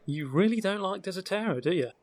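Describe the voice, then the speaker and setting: Man playing a wry, higher-voiced adventurer. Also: lively intonation, fast talker, a little mellow. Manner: wry, teasing. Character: wry, easygoing male fantasy adventurer.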